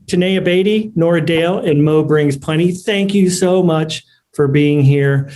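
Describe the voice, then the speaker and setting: A man hosting an informal online ceremony over a video call, with a halting, hesitant delivery. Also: fairly high pitch, warm tone, slight background noise. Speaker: host of an online award ceremony.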